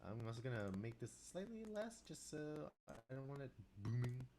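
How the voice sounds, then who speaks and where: A young man with a very low voice, casually talking to himself while composing on a live stream, rambling with restarts and asides. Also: big pitch swings, a little fast, noisy background. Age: young adult. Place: small room indoors. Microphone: desk microphone, wearing headphones.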